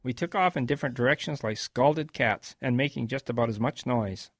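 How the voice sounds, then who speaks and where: An older man playing an elderly grandfather, reminiscing in a folksy, gravelly way as he narrates a radio drama. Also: mid-range voice, a little fast, over loud music, natural voice. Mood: wry. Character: elderly grandfather recounting his wartime boyhood.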